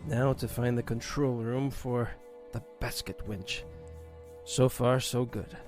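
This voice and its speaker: A man's voice: medium pitch, steady tone, takes it slowly, a little mellow, faint music behind, close to an everyday voice. A man voicing a suave, unflappable secret agent with a wry manner in an audio drama. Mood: cool, wry.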